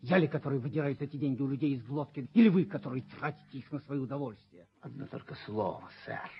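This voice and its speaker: An actor playing a servile, bitter sacked rent collector in a radio play, pleading and justifying himself emphatically in a husky, raspy voice. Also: male voice, medium pitch, quick pace, noisy background, natural voice. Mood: bitter reproach. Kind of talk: theatrical radio play acting. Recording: muffled 1970s radio play recording.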